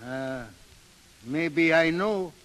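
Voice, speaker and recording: A man's voice: fairly high pitch, drawn-out words, fairly bright tone. An actor playing a stubborn old Swedish father, grumbling in accented broken English in a 1930s radio drama. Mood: worried. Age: older. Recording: old reverberant radio broadcast.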